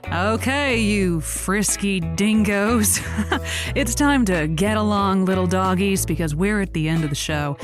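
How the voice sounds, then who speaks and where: A woman's voice: mid-range voice, measured pace, soft background music, natural voice. An actress playing a folksy, scheming woman who hosts a lonely hearts radio show, with a theatrical, coaxing manner in a comedic audio drama. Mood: folksy sign-off. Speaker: radio call-in show host.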